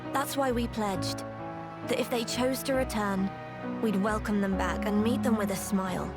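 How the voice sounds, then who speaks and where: A woman playing a patient, sincere townswoman in a video game, explaining things politely and gently. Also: medium pitch, steady tone, normal speed, over loud music, close to an everyday voice. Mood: solemn, explaining. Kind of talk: video game cutscene acting.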